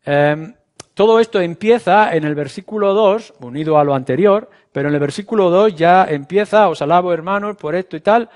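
Higher-pitched older Castilian man preaching. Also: moderate pace, darkish tone. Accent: Castilian Spanish. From Spain. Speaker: evangelical pastor. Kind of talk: expository sermon, teaching. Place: indoor room, at the front before listeners. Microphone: clip-on lapel microphone.

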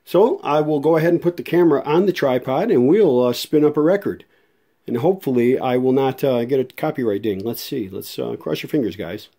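A man with a soft-edged, mellow voice at an average pitch talks through a hands-on demonstration. He is folksy and unhurried, casually thinking aloud as he explains each step. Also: lively intonation. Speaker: video host and radio restorer. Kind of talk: casual hands-on demo narration.

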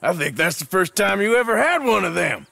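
An actor playing a gruff, menacing American outlaw in a video game, blunt and folksy with a dry wit. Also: male voice, high-pitched, very animated, fairly quick, bright, forceful tone, dry recording, full character voice. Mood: wry, laid-back. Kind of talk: video game character acting.

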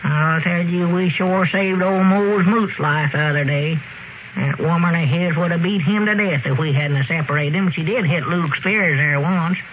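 A man acts out an old country storekeeper with a high voice and a rural Southern drawl. He rambles in a folksy, excitable way. It sounds like a narrow, noisy vintage radio comedy. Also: moderate pace, lightly acted. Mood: folksy, earnest. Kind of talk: 1930s-40s radio comedy acting. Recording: scratchy, narrow-band old radio recording.

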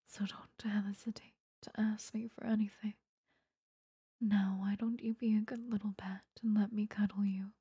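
A woman's voice: medium pitch, level tone, moderate pace, warm tone, no room sound. A woman playing an obsessive, doting vampire lover in a whispered, intimate ASMR roleplay, cooing close to the microphone. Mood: devoted, menacing. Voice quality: breathy, whispery. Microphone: close microphone, dry.

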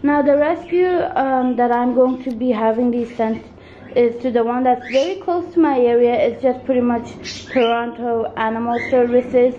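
A woman's voice: fairly high pitch, moderate pace. A congested woman hosting a home craft video, rambling with many ums and self-corrections as she shows her work off camera. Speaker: craft channel host. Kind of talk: casual show-and-tell narration. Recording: dull lo-fi sound, faint noise.

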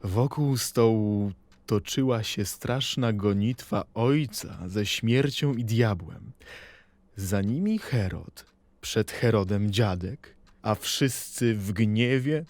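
Male actor as nostalgic poet in a radio play, low-voiced, very slow and reflective, tender, pausing expressively, dry sound. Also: dry recording. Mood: solemn, dramatic. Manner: reflective, deliberate, with expressive pauses. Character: male poet reminiscing tenderly about his childhood. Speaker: actor in a literary audio play. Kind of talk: dramatic poetry and memoir recitation.

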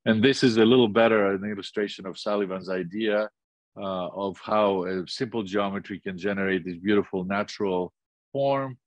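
Older greek guy teaching architecture on a webcam, lowish voice, dry sound, keeps restarting his sentences. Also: dry recording. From Greece. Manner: thoughtful, many restarts and pauses. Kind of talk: illustrated lecture.

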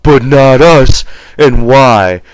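A man voicing a simple, childlike, eager ranch hand in an audiobook, with drawn-out, ungrammatical rural speech. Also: mid-range voice, no room sound, pitched above the performer's own voice, poor audio. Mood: delighted, eager.

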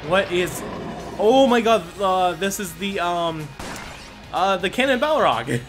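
Man thinking aloud over gameplay. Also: high-pitched. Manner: thinks aloud, reads item text, quick excited exclamations. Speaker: gaming channel host. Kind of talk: let's play commentary. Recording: game music playing underneath. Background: game music.

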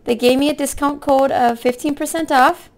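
A woman with a hoarse voice from being sick, chatting casually in a folksy, rambling way as a craft vlogger. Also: high voice, fairly even intonation, moderate pace, darkish tone. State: hoarse from illness with a cough. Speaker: craft vlog host. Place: indoor room at a table.

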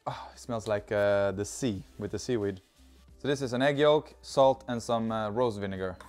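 A man's voice: on the low side, moderate pace, darkish tone, soft background music. A man in his thirties with a Swedish accent, chatting cheerfully as he cooks in a home kitchen on a lapel mic. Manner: chatty, cheerful, talks while working with food. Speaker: cooking video host. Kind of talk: casual cooking demonstration. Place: home kitchen with open garden door.

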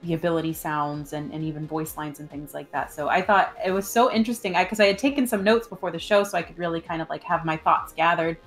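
Lower-pitched woman co-hosting a gaming podcast. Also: quick pace, hardly any pauses. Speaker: podcast co-host. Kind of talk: casual gaming discussion. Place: room at home, on a group call. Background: music.

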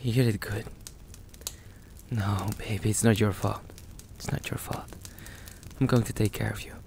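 A man acts out a caring, protective boyfriend in an intimate audio roleplay. His voice is low and gravelly, with a slow, halting way of speaking to his partner. There is clear ambient noise behind him. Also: fairly even intonation. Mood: tender, reassuring. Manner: soothing, reassuring, halting, addressing the listener as his partner. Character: sweet, protective young boyfriend, anxious and tender.

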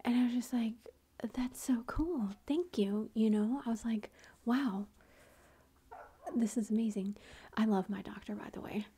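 A woman's voice: fairly high pitch, unhurried. A woman whispering through a long personal story, with many fillers and quoted lines of dialogue. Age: adult with a son. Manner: whispering, soft and breathy. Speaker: vlogger.

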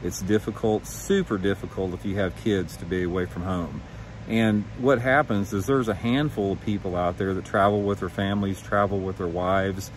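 Male, 50s, lowish voice, frank vlog delivery, outdoor recording with faint background noise. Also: steady tone, moderate pace, poor audio. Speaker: professional angler and vlog host. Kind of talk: candid opinion vlog, talking to camera. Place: suburban backyard, outdoors.

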